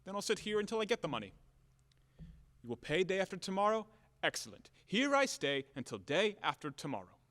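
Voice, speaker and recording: An actor playing a blustering, hot-tempered boor in an audio play, loud and declamatory, scornful and bombastic. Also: male voice, sits high, sing-song, brisk. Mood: furious demanding. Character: blustering, hot-tempered, boorish man demanding repayment, cynical about women. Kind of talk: theatrical audio play acting. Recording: echoey hall-like reverb.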